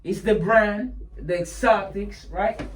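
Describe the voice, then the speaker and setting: A man's voice: high voice, fairly even intonation, slow, distant mic. A man hosting a casual interview in a laid-back way, stumbling over his questions. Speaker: interview host. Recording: echoey room, off-mic.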